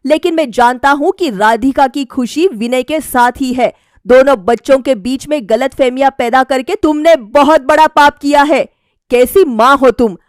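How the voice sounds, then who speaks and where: A woman voicing an indignant middle-aged father in a cartoon moral story, forceful and reproachful. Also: high voice, no room sound, clean studio sound, close to an everyday voice.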